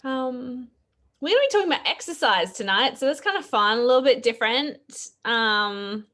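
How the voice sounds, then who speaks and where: A woman with an Australian accent hosting a live podcast chat over a video call, her voice a bit croaky, chatty and quick to laugh. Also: on the high side, lively intonation, fairly bright tone. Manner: chatty, laughs often, fillers. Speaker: podcast host. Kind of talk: casual live-stream chat.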